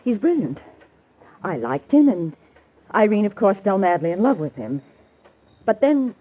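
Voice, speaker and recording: A woman's voice: medium pitch, very animated, measured pace. A woman playing a worried, refined mother in a 1940s radio drama, speaking earnestly and hesitantly. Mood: troubled. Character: worried, genteel middle-aged mother hiring a detective. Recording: dull old radio recording.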